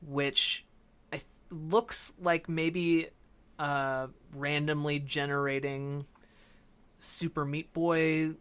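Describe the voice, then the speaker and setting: A man's voice: fairly high pitch, level tone, slow, fairly bright tone, clear, resonant tone. A man in casual conversation as a podcast co-host, explaining things informally and a little tentatively. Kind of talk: casual discussion of games.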